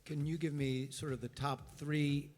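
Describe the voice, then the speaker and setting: A man's voice: a little high, level tone, moderate pace, a little mellow, in a large hall, soft background music. A man questioning a startup founder bluntly and pressing him for hard numbers. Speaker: judge asking questions.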